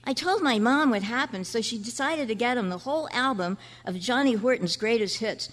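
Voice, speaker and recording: A woman's voice: fairly high pitch, lively intonation, brisk. A woman playing an earnest, chatty fourth-grade boy in an old-time radio style performance. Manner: chatty, boyish slang. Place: large indoor room at a convention. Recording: echoey hall at a club meeting.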